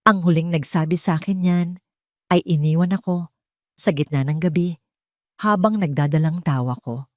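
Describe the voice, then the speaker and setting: A woman's voice: on the low side, moderate pace, long pauses, dry recording, natural voice. A woman narrator plays a wounded young mother in a romantic audio drama. She sounds weary and bitter, holding others off with pained defiance. The recording is dry and close. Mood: bitter, pleading. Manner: pained, guarded.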